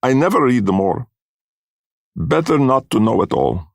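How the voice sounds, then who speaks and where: A man's voice: medium pitch, very animated, measured pace, gravelly, no echo, studio quality, voice pushed up, full character voice. A man voicing an old immigrant woman fortune-teller in a story, pleading defensively in broken English. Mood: defeated.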